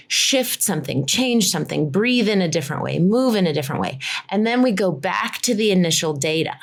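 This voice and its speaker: A woman from Colorado, a physical therapist, explaining her work enthusiastically in a conversational interview over a video call. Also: lowish voice. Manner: enthusiastic, explanatory, uses "like" often.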